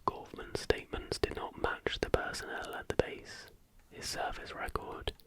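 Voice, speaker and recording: Whispering man, ASMR narrator. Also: normal speed. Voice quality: unvoiced, breathy whisper. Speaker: host of an ASMR channel.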